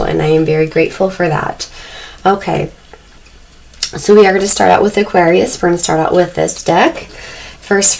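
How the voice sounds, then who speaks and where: A woman doing a casual tarot reading to camera, a little husky as she is losing her voice, talking softly and calmly in a dim room. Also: fairly low pitch, poor audio. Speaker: tarot reader. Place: dim room indoors lit by candles. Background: faint noise from loud neighbors upstairs.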